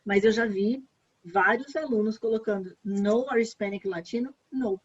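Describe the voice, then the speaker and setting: A Brazilian woman walks through an online application form in a relaxed, chatty way, repeating the points she wants to stress. Her voice is a little husky, and the video call audio is dull and lo-fi. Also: mid-range voice, normal speed. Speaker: education advisor. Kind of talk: online workshop walkthrough.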